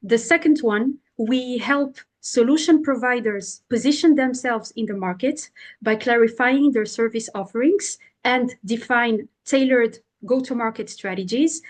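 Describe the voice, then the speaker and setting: A woman's voice: on the high side, unhurried. A woman with a French accent, a consultant guest in a podcast interview, explaining things in simple words with frequent "uh" fillers.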